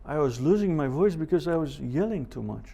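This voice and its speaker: A man teaching a Bible seminar as a professor, speaking in a measured way with deliberate pauses, scripture quotations and rhetorical questions. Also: fairly high pitch, lively intonation, vocal fry, dark tone.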